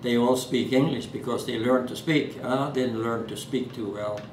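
A man's voice: medium pitch, fairly even intonation, a little mellow. Elderly Norwegian-accented man, distant and roomy. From Norway. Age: 80s. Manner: halting, many fillers and restarts. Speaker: interviewee. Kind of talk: oral history interview. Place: living room at home. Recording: echoey room, distant mic, background hiss.

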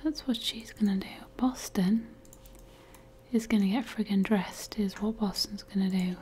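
A woman's voice: medium pitch, measured pace, a little mellow, slight background noise. A woman whispering playful, bossy commentary while playing a life-sim game. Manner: whispering, wry and bossy toward game characters. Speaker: gamer.

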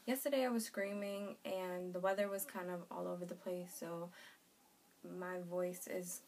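A young woman in her twenties with a croaky, sore-throated voice, chatting casually to camera as she unboxes a subscription box in her bedroom. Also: on the low side, fairly even intonation, normal speed, a little mellow. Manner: chatty, reads product labels aloud. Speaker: beauty and lifestyle vlogger. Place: small bedroom in front of a red wall.

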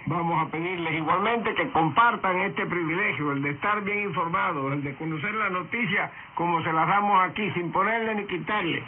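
A man's voice: high voice, normal speed, a little hiss or hum. A man hosting a radio news program, addressing listeners in a scripted presenter's style. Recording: tinny shortwave radio broadcast with music behind. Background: music.

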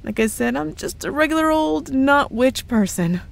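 A woman's voice: sits high, animated, moderate pace, creaky, full character voice. A woman playing a playful, possessive witch girlfriend in an ASMR roleplay, teasing and coy with a sweetly threatening edge. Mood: coy, defensive.

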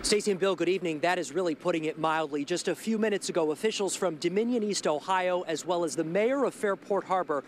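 A man in his thirties reporting live outdoors at night with a handheld mic, brisk and earnest. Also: sits high, slight background noise. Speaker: field reporter. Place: outdoors at night in a residential street.

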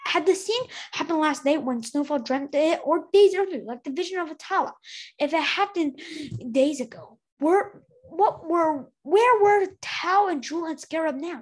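High-pitched woman reading a novel aloud. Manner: stumbles over words and rereads them. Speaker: storybook reader. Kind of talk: expressive read-aloud narration of a novel.

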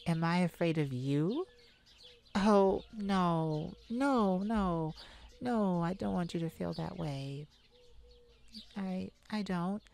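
A woman's voice: fairly low pitch, slow, creaky. A woman playing a kind, anxious mage in an ASMR audio roleplay, talking hesitantly and intimately to a childhood friend. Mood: worried, tender. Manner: hesitant, stammering, soft-hearted worrier.

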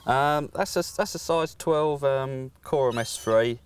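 A man in his thirties, a guest angler, explaining his tackle in a casual outdoor chat, often pausing with "um". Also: mid-range voice, clean tone. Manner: hesitant, many "um"s, explains plainly. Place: lakeside outdoors, seated.

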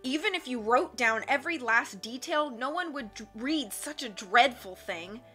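A woman's voice: high-pitched, lively intonation, moderate pace, bright, forceful tone, soft background music, voice pushed up. A woman voicing a scheming, theatrical male villain who gloats with mocking laughs and growled threats. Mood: boastful.

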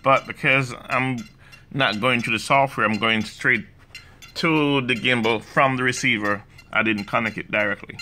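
A man with a Jamaican accent, talking through drone setup software in a hesitant way, full of fillers and restarts. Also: mid-range voice, steady tone, normal speed, slight background noise. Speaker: hobbyist demonstrating drone software. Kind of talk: casual screen walkthrough. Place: indoors, room with a laptop.

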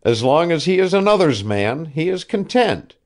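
A man's voice: on the high side, big pitch swings, moderate pace, pitched above the performer's own voice. A man voicing a harsh old ship owner. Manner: harsh, impatient, clipped. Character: shrewd older male whaling ship owner, curt, evasive. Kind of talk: audiobook character reading.

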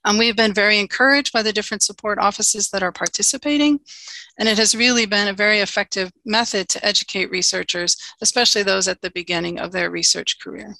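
A woman giving a conference talk over a video call, earnest and steady with frequent ums, now and then asking for the next slide. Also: medium pitch, quick pace, creaky, dry recording, low-quality recording. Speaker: conference presenter. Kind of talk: virtual conference presentation.

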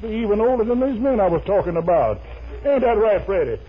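Animated, cocky sweet-talking boyfriend. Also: male voice, high voice, fairly quick, slightly rough, heavily acted. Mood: wheedling. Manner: wheedling, cocky. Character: smooth-talking, boastful boyfriend man, slippery sweet-talker. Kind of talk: 1950s radio comedy acting. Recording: thin, narrow, hissy 1950 radio recording.

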